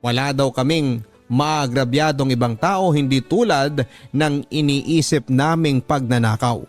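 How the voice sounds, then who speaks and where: A man with a Filipino accent reading a dramatic first-person story as a radio drama narrator, measured and earnest. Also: mid-range voice. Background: background music.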